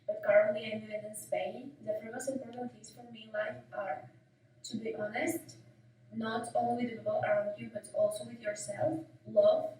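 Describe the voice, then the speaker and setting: A woman's voice: fairly high pitch, fairly even intonation, normal speed, mellow, slight background noise. Earnest woman from Ukraine, very echoey room. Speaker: interviewee in a video clip. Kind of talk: short personal statement. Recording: very echoey room, distant mic.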